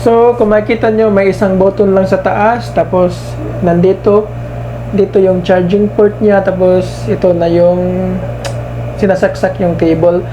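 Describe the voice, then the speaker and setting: A man giving a casual hands-on product demonstration as a reviewer, halting and repeating himself as he searches for words. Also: high voice, level tone, dull audio, background noise.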